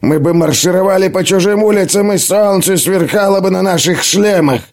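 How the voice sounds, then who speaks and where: A hoarse, bitter old man. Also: high-pitched, voice pushed up. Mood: fierce. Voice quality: hoarse, creaky. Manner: shouting slow and cracked. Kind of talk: audiobook character voice.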